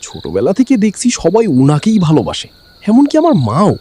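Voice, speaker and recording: A man's voice: sits high, huge pitch swings, moderate pace, dark tone, slight background noise. Cartoon villain, male actor: resentful greedy stepbrother, conspiratorial, wildly swinging intonation, faint night insects. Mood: bitter. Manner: conspiratorial, bitter.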